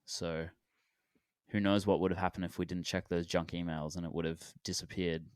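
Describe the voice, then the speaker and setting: A deep-voiced man in his late twenties from Australia, chatting as a guest on a podcast. His voice is smooth and resonant, and the sound is close and dry with no room around it. He rambles easily, trailing off and restarting his sentences. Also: moderate pace, no echo. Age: 20s. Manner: relaxed and rambling, with many fillers and restarts. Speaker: musician guest. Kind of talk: casual podcast interview.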